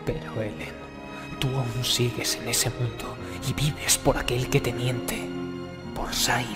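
A man's voice: medium pitch, little pitch movement, slow pace, natural voice. A man playing a weary, devoted young male assassin in an anime fan dub, brooding and emotional. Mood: bitter despair. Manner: brooding, emotional, dramatic phrasing. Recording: long reverb under loud violin music.

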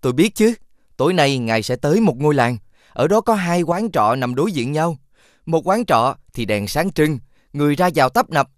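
A wise cartoon fox, acted by a man with a fairly high voice that moves up and down a lot. He sounds earnest and insistent, like a friendly guide in a fairy tale. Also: animated, moderate pace, dry recording, studio quality, lightly acted. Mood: earnest advising. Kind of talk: animated fairy-tale dubbing.

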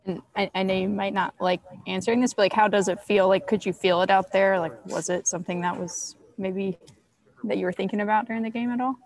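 Quick-asking woman reporter on a call. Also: mid-range voice, fairly even intonation. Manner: quick, rambling questions with fillers. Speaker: student reporter. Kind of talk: press conference questions.

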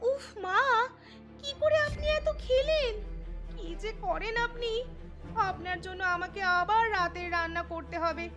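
Woman voicing a very high-pitched young cartoon daughter-in-law. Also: measured pace. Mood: exasperated, complaining. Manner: complaining, scolding. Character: young daughter-in-law, exasperated, hardworking housewife. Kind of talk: cartoon voice acting. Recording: music behind the voice.

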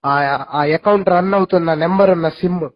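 A man's voice: a little high, normal speed. A young man talking casually on a recorded phone call, giving insistent advice. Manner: insistent, advising.

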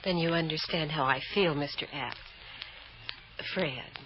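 A woman's voice: deep voice, measured pace. A woman playing an earnest, lonely mother in an old-time radio drama. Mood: earnest, wistful. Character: lonely, earnest mother longing for her little girl. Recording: muffled, noisy old radio recording.

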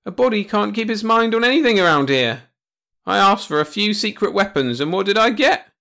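An irritable alien sloth king, acted out by a man for a comic sci-fi story. The voice is pitched high and loud, whining and blubbering theatrically as it complains. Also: lively intonation, brisk, gravelly, full character voice. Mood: tearful complaining.

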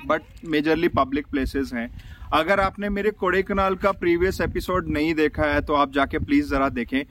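A man's voice: fairly high pitch, fairly bright tone, slight background noise, poor audio. A man with an Indian accent chatting casually and enthusiastically as a travel vlogger outdoors, switching between two languages in an informal way. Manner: mixes Hindi and English, informal and enthusiastic. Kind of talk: casual travel vlog chat to camera. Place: outdoors at a hillside viewpoint. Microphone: phone camera.

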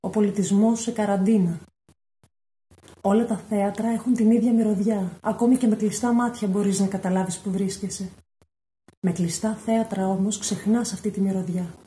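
A woman's voice: medium pitch, steady tone, mellow, reverberant. A woman in her thirties delivering a scripted, reflective message, recorded on a phone at home. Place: small indoor room at home.

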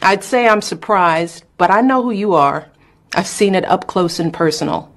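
Low-voiced middle-aged woman, admonishing. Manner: deliberate, admonishing. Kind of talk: direct-to-camera scolding.

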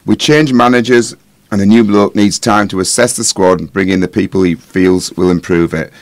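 A man playing a calm, measured podcast pundit in an audio drama. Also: deep voice, dry recording.

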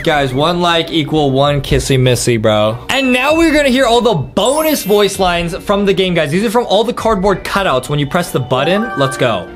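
A young man giving excited explanatory commentary as a reaction host, exclaiming and addressing viewers often. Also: sits high, normal speed, hardly any pauses. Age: young adult. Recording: loud music behind the voice.

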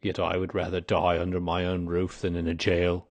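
A man's voice: low-pitched, completely flat delivery, moderate pace, dry recording. A man voicing a weary, grave old man confessing his past in an audiobook story. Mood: weary, resigned. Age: older. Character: weary, dying old man, confessed former highway robber.

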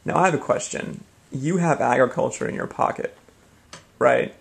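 A man's voice: medium pitch, moderate pace, vocal fry. A man interviewing casually from off camera, inquisitive and a little hesitant. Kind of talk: casual improvised questioning. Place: indoors, off camera.

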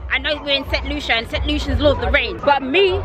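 A woman's voice: sits high, brisk. Chatty woman vlogging at carnival. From London. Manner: chatty and laughing, teasing. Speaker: travel vlogger. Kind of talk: casual vlog chat. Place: outdoors at a street carnival and in a car. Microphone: handheld camera close to face. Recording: dull lo-fi sound with loud music and crowd.

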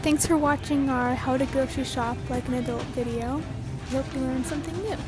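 A woman's voice: sits high, fairly even intonation, fairly quick, music in the background, rough recording. A young woman giving a checkout tip and signing off a casual vlog at a supermarket checkout. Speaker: vlogger giving sign-off.

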